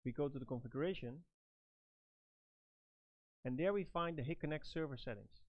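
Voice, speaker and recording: A man's voice: fairly high pitch, normal speed, no room sound. Man giving a demo, slightly dull recording. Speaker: product trainer and presenter. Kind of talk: step-by-step product demonstration.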